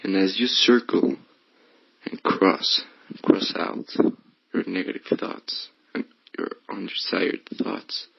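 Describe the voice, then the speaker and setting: A man leading a guided meditation in a hushed, breathy voice that often slips toward a whisper. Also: sits low, fairly even intonation, slow, no echo, muffled recording. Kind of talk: guided meditation, soothing instruction.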